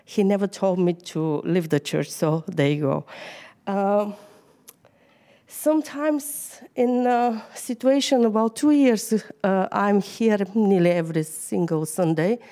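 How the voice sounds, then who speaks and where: Slow, hesitant Bulgarian woman. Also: medium pitch, warm tone. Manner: hesitant, many fillers. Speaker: church member giving a talk. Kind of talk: informal sermon. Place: church.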